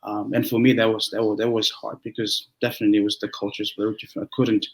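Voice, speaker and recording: A young man from Tonga, whose first language is Tongan, speaking as a pastor in a relaxed interview with frequent restarts and fillers. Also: fairly low pitch. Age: young adult. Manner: halting, many restarts and fillers. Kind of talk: conversational interview.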